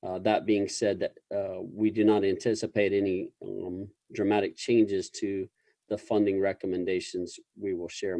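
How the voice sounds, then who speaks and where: A man giving a formal government presentation as a public official, in measured, bureaucratic and slightly hesitant phrasing. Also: lowish voice, dark tone, dry recording.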